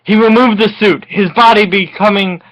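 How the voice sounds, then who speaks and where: A person giving a tipsy, mock-dramatic reading of comic fan fiction, halting and often giggly. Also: medium pitch, fairly even intonation, fairly bright tone, rough recording. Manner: giggly, frequently breaking into laughter; melodramatic, halting delivery.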